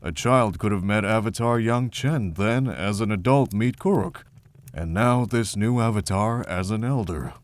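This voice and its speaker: A man playing a gentle, wry middle-aged monk who is kindly with children in an animated fantasy story. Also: lowish voice, a little slow, no echo, lightly acted. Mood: reflective. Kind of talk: animated fantasy visual novel voice acting.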